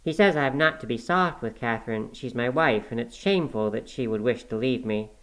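A man's voice: medium pitch, fairly quick, fairly bright tone, close to an everyday voice, poor audio. A man reading as a sulky, selfish, sickly young man in an audiobook, with a listless, petulant manner. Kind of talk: audiobook character acting.

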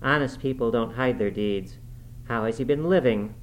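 A man's voice: mid-range voice, normal speed, a little hiss or hum, close to an everyday voice. Sensible, admonishing housekeeper read by a man. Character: plain-spoken, sensible housekeeper woman, cautioning.

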